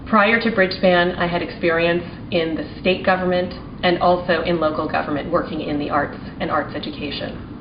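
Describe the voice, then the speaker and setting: A forty-year-old American woman giving prepared testimony as a small business owner, in an earnest, deliberate, formal manner. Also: lowish voice, steady tone. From United States (Maryland), partly raised in Taiwan and China. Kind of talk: prepared advocacy testimony speech. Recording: roomy, distant mic, dull sound, faint noise.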